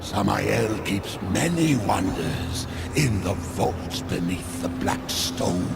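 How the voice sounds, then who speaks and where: An actor playing a sly demon merchant in a game cutscene, speaking slowly and ominously, with loud music behind. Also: male voice, on the low side, exaggerated voice. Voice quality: gravelly. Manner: slow, ominous and knowing. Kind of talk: video game cutscene acting.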